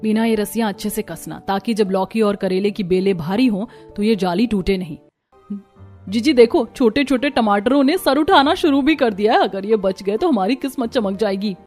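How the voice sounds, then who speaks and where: A woman's voice: mid-range voice, normal speed, darkish tone, soft background music, natural voice. A woman playing a hardworking, earnest young village daughter-in-law in a cartoon.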